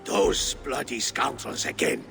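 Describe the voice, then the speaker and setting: An actor playing an anxious, courtly, well-fed high official of a Viking town in a video game. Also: male voice, wildly swooping pitch, unhurried, vocal fry, pushed and bright, exaggerated voice. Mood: dismayed. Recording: long reverb, music behind.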